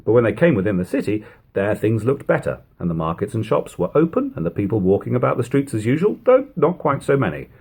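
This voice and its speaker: Man reading literature aloud, swooping expressive pitch. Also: fast, vocal fry, breathy edge. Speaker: reader of a classic book. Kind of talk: audiobook-style literary narration.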